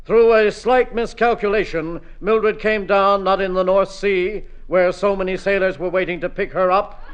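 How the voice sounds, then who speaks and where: An actor playing a grandiose, declamatory American news correspondent reporting dramatically in a radio comedy. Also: male voice, high voice, fairly even intonation, moderate pace, clean tone, natural voice. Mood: grandiose excitement. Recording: dull, band-limited radio sound, music.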